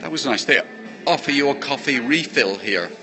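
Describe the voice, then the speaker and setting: A man's voice: medium pitch, lively intonation, moderate pace. A man chatting casually to viewers on a livestream as a poetry host, rambling and full of thanks, speaking into a handheld earbud mic. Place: busy café. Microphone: handheld earbud microphone. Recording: live phone stream in busy café. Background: chatter of other people.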